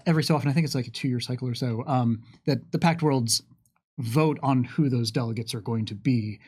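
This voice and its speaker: A man's voice: mid-range voice, a little mellow. A man with a mid-range voice hosts a casual livestream Q&A. He reads questions aloud and works through his answers hesitantly, thinking as he talks. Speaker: game lore panelist reading viewer questions.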